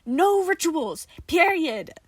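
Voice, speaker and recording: A woman's voice: very high voice, sing-song, slow pace, no room sound, voice pushed up, heavily acted. A woman voicing a growling, irritable, foul-mouthed man with a dry, grumbling manner in an audio story. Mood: exasperated.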